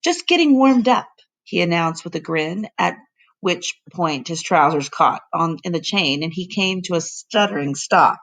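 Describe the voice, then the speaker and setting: A woman reading a children's story aloud like a teacher, with measured, deliberate, expressive narration. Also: fairly low pitch.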